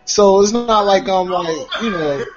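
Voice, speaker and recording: A man's voice: high voice, a little slow, over loud music. A man in his late twenties chatting casually, laid-back and rambling. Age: 20s. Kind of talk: casual banter. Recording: dull lo-fi sound.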